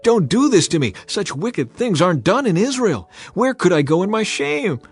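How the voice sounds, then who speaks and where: A man's voice: high-pitched, big pitch swings, normal speed, slightly rough, pitched above the performer's own voice, exaggerated voice. A man voicing a desperate young princess in an urgent, pleading manner, for a dramatized scripture reading.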